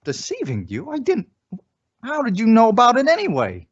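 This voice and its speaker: A man's voice: extremely high pitch, wildly swooping pitch, moderate pace, husky, muffled recording, exaggerated voice. A man playing a flustered, well-meaning young husband in an old radio sitcom, hesitant and given to exclaiming. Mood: surprised, defensive. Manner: hesitant, exclaiming comic delivery. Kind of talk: 1950s radio sitcom acting.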